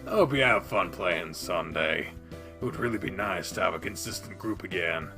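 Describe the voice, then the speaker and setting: A man doing an excitable furry gamer guy. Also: low-pitched, moderate pace, vocal fry, over music, pitched below the performer's own voice. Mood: fond. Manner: giggly, boastful storytelling. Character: excitable young male animal-person gamer.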